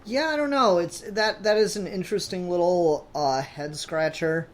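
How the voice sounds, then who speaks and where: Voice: mid-range voice, normal speed. A podcast host chatting casually about comic books in a rambling, informal, mildly sweary way. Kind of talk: casual comic book discussion.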